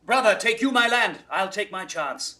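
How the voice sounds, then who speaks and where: A blunt, cheeky young British gentleman in a Shakespeare audio drama. His voice sits on the high side and swoops freely. The delivery is bantering, irreverent and theatrical. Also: expressive, normal speed. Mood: bold, jesting. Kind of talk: 1960s classical Shakespeare audio drama acting.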